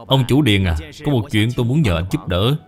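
A man's voice: mid-range voice, lively intonation, fairly quick, faint music behind, lightly acted. A man voicing a sociable businessman client in a moral cartoon, genially explaining as he asks a favour. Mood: genial, requesting. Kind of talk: dubbed moral cartoon dialogue.

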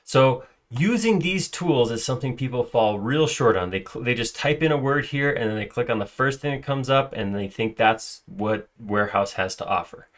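Chatty man walking through software. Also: medium pitch, animated, moderate pace, few pauses. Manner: conversational, filler-heavy, self-correcting. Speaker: software trainer. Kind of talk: screen-recorded software tutorial.

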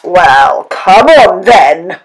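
Biggest storybook billy goat, played by a woman: bold male fighter, loud, defiant, slow and emphatic. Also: on the low side, sing-song, crowd noise, exaggerated voice. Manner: loud, shouting, challenging. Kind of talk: children's storytelling.

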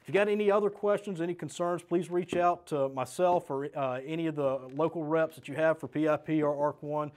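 A middle-aged man with an American accent walks through a product step by step. His voice sits a little higher than most men's. He sounds like a practical, plain-spoken sales rep giving instructions. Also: fairly even intonation, a little fast, hardly any pauses, darkish tone. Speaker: sales representative presenting a product demonstration. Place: small indoor studio set with desk and shelves.

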